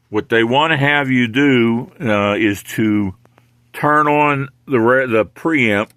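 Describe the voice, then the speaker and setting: An older American man giving a casual hands-on equipment demonstration in a halting, unhurried way. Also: fairly low pitch, a bit bright, dry recording, studio quality. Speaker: radio hobbyist demonstrating equipment.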